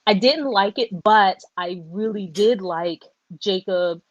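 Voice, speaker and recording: A woman hosting a casual book discussion, talking in animated, rambling runs and correcting herself as she goes. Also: medium pitch, slow, no echo, lo-fi sound. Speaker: podcast host.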